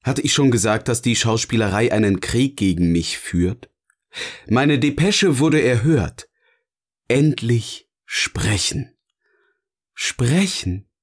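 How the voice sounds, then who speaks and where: Schauspieler als bedächtiger, sardonischer Erzähler mit sehr langsamem Tempo. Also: male voice, mid-range voice, expressive, takes it slowly. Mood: musing, relieved. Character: eloquent, sardonic male narrator finally free to speak. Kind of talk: scripted audio drama dialogue, philosophical musing.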